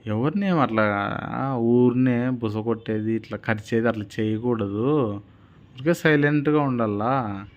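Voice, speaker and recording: A man's voice: mid-range voice, measured pace, highs cut off, natural voice. A man voicing a fearless old swami in a children's story, admonishing plainly and confidently with a Rayalaseema Telugu accent. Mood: stern counsel. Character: fearless old male holy man, sternly advising.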